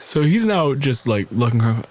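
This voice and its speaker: A man's voice: mid-range voice, sing-song, moderate pace, a little mellow. Mid-voiced man running a tabletop game. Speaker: game master. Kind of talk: casual improvised game narration.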